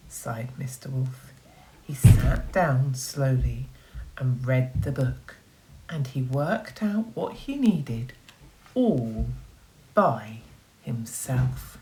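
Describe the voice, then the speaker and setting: A woman with a low voice reads a story to children like a teacher. Her pitch swings widely and she takes her time, expressive and encouraging as she speaks straight to the young listeners. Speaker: primary school teacher. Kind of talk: children's story read aloud with questions to listeners.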